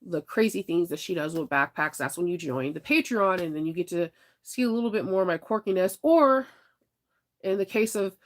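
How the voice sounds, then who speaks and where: A woman's voice: on the low side, lively intonation, fairly quick. A woman vlogger chatting casually with viewers, laughing often and sounding stuffed up from congestion. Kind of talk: casual chat.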